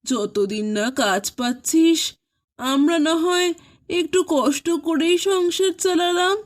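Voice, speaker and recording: A woman playing an anxious, tender elderly mother of a grown son in an animated horror story, pleading and soothing. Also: very high-pitched, takes it slowly. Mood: soothing, concerned.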